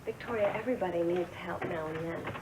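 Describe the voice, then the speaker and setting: An actress in a soap opera, brash and breezy in manner. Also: female voice, on the low side, quick pace, noisy background, lightly acted.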